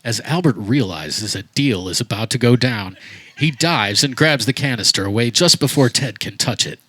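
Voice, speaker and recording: A man's voice: medium pitch, lively intonation, normal speed, fairly bright tone, no echo. Matter-of-fact male narrator, dry sound. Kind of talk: scripted comedic stage-direction narration. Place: backyard, live performance.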